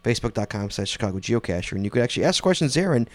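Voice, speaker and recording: A man's voice: fairly low pitch, very animated, very fast, dry recording. A man hosting a casual podcast, reading listener questions, rambling with fillers and laughing easily. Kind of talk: casual chat.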